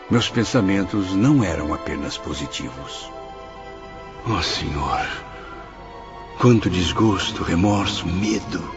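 A Brazilian actor playing a remorseful, tormented suicide recounting the afterlife in a solemn, theatrical radio drama. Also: male voice, lowish voice. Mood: grave, reflective. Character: remorseful, tormented male suicide narrating his anguished afterlife. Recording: muffled radio drama under prominent music.

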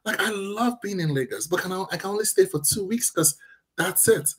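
Nigerian man, thirties, husky and a bit high, rapid emphatic talker in a casual chat. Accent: Nigerian-inflected, partly Americanized. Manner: emphatic and animated, quick restarts. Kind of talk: casual conversation.

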